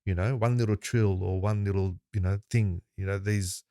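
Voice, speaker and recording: A man's voice: on the low side, fairly even intonation, moderate pace, mellow, no room sound, studio quality. A man hosting a music podcast, talking in a rambling way and repeating words as he thinks aloud. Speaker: podcast host. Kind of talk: podcast conversation.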